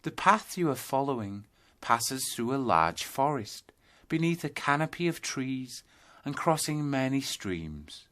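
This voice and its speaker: A man narrating a scripted guided yoga nidra meditation, telling a journey in measured second-person storytelling. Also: mid-range voice, sing-song, fairly bright tone.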